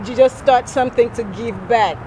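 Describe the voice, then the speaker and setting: Cameroon-born woman, 30s, earnest and a bit high-voiced, faint outdoor noise behind. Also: moderate pace, mellow, rough recording. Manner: earnest, with frequent restarts and laughs. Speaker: nonprofit founder. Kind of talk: conversational interview answers.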